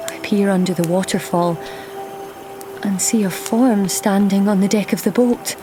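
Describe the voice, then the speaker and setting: A woman playing a proud, lonely, cursed young daughter of a goddess in an audio drama, speaking in a measured, hushed, solemn way. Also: mid-range voice, steady tone, background noise, natural voice. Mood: hushed wonder. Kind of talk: audio drama acting with first-person narration.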